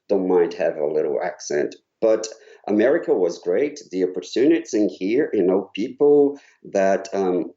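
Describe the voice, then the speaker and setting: Deep Portuguese-accented man, muffled sound. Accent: Brazilian Portuguese. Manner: halting, restarts often, warm storytelling. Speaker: choral conductor guest. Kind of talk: casual interview conversation. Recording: slightly dull lo-fi sound.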